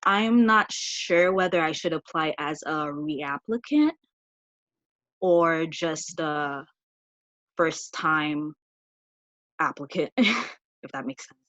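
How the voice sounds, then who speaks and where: A woman's voice: low voice, lively intonation, takes it slowly, long pauses, fairly bright tone, no echo. A woman from Haiti asking a question on a video-call panel, hesitant and searching for her words. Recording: dry, muffled video call audio.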